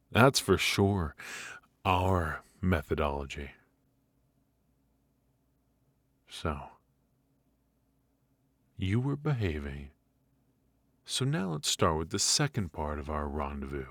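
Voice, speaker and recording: An extremely low-pitched mafia boss, acted by a man for an ASMR roleplay, recorded close and dry. He is smug and menacing, teasing his captive with courteous, ruthless words at an unhurried pace. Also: fairly bright tone, no echo, exaggerated voice. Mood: smug, probing. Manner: smooth-talking, teasing, deliberate with drawn-out pauses.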